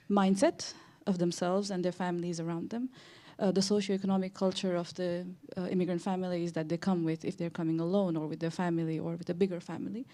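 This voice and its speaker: A woman's voice: on the low side, warm tone. A young woman from Nepal with a Nepali accent, making an informal comment from the audience at a conference. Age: young adult.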